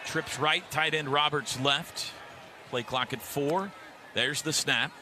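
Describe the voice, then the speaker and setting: A man's voice: on the high side, slow pace. A man calling live football play-by-play on the radio in short, clipped phrases. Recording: very noisy stadium broadcast. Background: loud stadium ambience.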